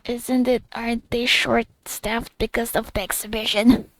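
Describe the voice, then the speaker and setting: Creaky-voiced woman gamer reacting casually. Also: a little high, little pitch movement, measured pace, no echo. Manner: reactive, musing aloud. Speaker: gamer playing a story quest. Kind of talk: casual gameplay commentary. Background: game music.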